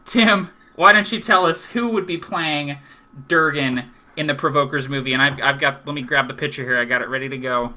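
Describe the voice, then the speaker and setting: A man with a very bright, forceful voice runs an online tabletop game as host and dungeon master. He talks in an upbeat, encouraging way, pitched a little high, laughing easily with his players over a video call. A faint hiss sits under the voice. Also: brisk. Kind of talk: casual chat hosting a tabletop game show.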